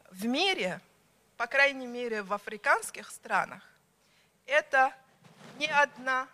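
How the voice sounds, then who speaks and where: A woman delivers a formal conference speech in a high, bright voice. She speaks slowly, stressing each word and pausing between the items of her lists. The tone stays measured and deliberate throughout. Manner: slow, emphatic, pausing between list items.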